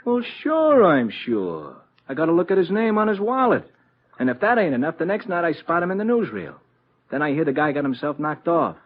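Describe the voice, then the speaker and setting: Excitable, high-pitched vaudeville showman. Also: brisk. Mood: earnest, animated. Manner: folksy slangy talk, chummy. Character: excitable, anxious small-time vaudeville animal-act showman. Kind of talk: old-time radio detective drama acting. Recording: muffled, noisy old radio recording.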